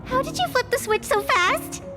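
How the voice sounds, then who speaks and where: An actress playing a cheeky, sharp-tongued young woman in a video game, teasing and sarcastic. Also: very high voice, expressive. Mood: indignant. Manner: teasing, sarcastic, quick to bite back. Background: background music.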